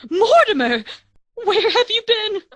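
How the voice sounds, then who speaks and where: A woman's voice: very high voice, sing-song, slow pace, fairly bright tone, no echo, heavily acted. A woman playing an anxious young neighbour in a radio play, exclaiming in alarm. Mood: panicked.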